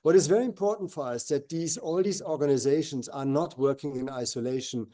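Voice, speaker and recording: Host, male, earnest, halting, mellow and dark tone, higher-pitched. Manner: earnest, halting with fillers and restarts. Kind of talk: moderating a live video call. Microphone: video call.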